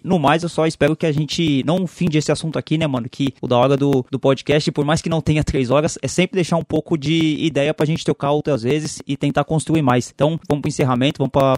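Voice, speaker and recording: A man hosting a podcast, talking in a relaxed, slangy way. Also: mid-range voice, no echo, clean studio sound. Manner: relaxed, slangy, often says "mano". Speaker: podcast host. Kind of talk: casual podcast talk.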